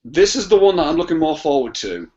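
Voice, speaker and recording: Male, slightly high voice, podcast guest, casual, rambling and rephrasing, muffled lo-fi sound. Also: very animated, quick pace, mellow. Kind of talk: casual film discussion. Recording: dull lo-fi audio.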